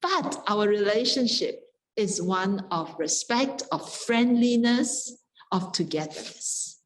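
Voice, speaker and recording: A woman speaking as a panelist, reflecting in a measured, contemplative way with deliberate pauses. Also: mid-range voice, expressive, low-quality recording. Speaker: panelist, Buddhist practitioner.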